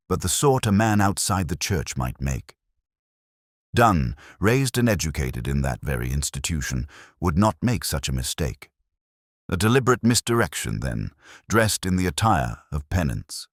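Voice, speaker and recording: A man playing a reflective, world-weary police detective, narrating a mystery in the first person with measured, deliberate, literary phrasing. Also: extremely low pitch, no room sound, studio quality, heavily acted.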